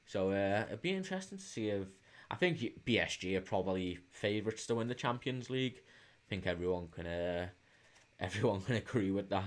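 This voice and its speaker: A man's voice: on the low side, animated, moderate pace. A man with a Liverpool accent hosting a casual football watch-along stream, chatting informally and hesitantly as he answers viewers' comments. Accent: Liverpool English. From England, Liverpool. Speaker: livestream host.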